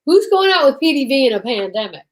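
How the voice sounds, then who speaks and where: A woman's voice: high-pitched, big pitch swings, quick pace. A woman co-hosting a comedy livestream, bantering casually and playfully, often repeating phrases for comic effect. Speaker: co-host of a comedy radio show livestream.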